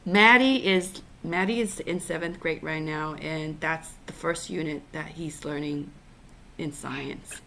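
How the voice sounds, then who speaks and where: A woman from Taiwan hosting a casual conversation over a video call, talking in halting bursts full of fillers and restarts. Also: low-pitched, creaky, pushed and bright. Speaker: podcast host.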